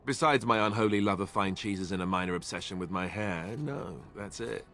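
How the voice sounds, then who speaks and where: A low male voice for a fantasy game. He is a young warrior with royal blood he would rather not mention, sheepish and halting, with a wry, self-mocking streak. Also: pushed and bright. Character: awkward young warrior, secret royal bastard, self-deprecating. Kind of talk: video game voice acting.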